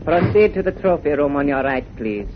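A man's voice: on the high side, expressive, normal speed, lo-fi sound, lightly acted. Man playing stiff, guarded servant, noisy old radio. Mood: formal, guarded. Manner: stiff, formal, clipped courtesy. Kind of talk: 1940s radio drama acting.

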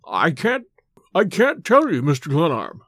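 A man voicing a dazed, injured manservant in a storybook reading, halting and deferential. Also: a little high, wildly swooping pitch, fairly bright tone, no room sound, studio quality, exaggerated voice. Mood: faltering.

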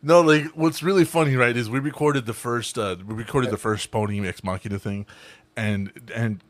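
A man with a deep voice hosting a casual chat among voice actors, swearing freely, laughing a lot and talking with many fillers. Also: fairly even intonation, normal speed, dry recording. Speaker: podcast host.

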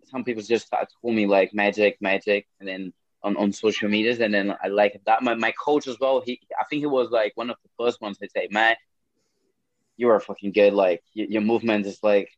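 A man's voice: lowish voice, fairly even intonation, fairly quick, dry recording, dull audio. A young man from Brazil with a Brazilian Portuguese accent, speaking English as a second language in a casual interview, often repeating words and swearing casually. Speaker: MMA fighter.

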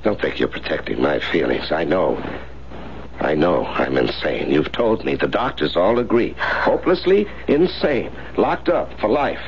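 Embittered, erratic institutionalized husband, vintage radio drama; low voice, dramatic pitch swings. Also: male voice, big pitch swings, measured pace, heavily acted. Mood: anguished, despairing. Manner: accusing, repetitive, erratic. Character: bitter, volatile husband locked in an asylum. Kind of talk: 1970s radio drama acting. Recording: muffled, faintly noisy 1970s radio broadcast.

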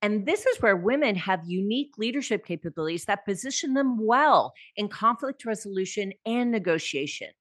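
A woman hosting an interview, who asks a question in measured, formal phrasing. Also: mid-range voice, no echo, clean studio sound. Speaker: interview host.

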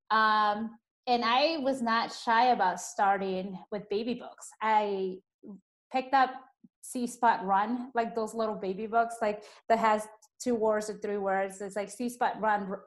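A young woman who grew up speaking Spanish, hosting a casual podcast conversation in a chatty way with lots of fillers. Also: medium pitch. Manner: chatty, uses many fillers. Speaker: podcast host.

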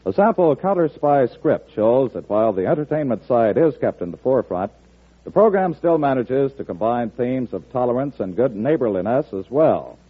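Tinny vintage radio announcer, husky man. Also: medium pitch, very animated, moderate pace. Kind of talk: 1940s radio promotional announcing. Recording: tinny old radio broadcast.

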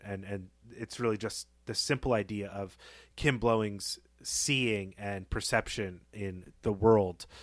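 A man with a slightly low voice speaks as a podcast co-host. He works through ideas about a story in a reflective, measured, conversational style. The recording is very dry and free of room sound. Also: fairly bright tone, no echo. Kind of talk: conversational literary discussion.

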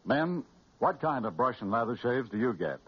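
A man announcing a scripted 1940s radio commercial in a polished, persuasive, slightly husky voice. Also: mid-range voice, big pitch swings, moderate pace. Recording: dull, dry old radio recording.

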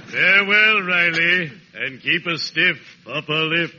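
A man's voice: a little high, measured pace, lightly acted. An actor playing a genteel, dignified, funereal man with pompous, theatrical delivery in old radio comedy. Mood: solemn, grandly polite. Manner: pompous, theatrical, savoring each word. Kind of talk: 1940s-50s radio comedy acting. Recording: dull noisy old radio recording.

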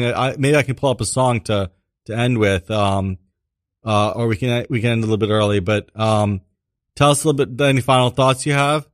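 A man's voice: mid-range voice, smooth, no echo. A man hosting a community radio interview show from the studio, talking loosely with fillers and restarts.

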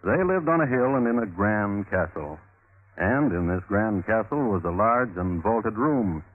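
A man hosting and narrating a scripted 1950s radio crime show in a measured, wry, literary manner. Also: on the low side, lively intonation. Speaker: host and narrator. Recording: muffled old radio recording with music. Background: music.

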